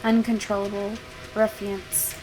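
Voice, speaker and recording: A woman's voice: mid-range voice, level tone, a little slow, over music, poor audio. A woman gaming host reading a visual novel aloud as narration.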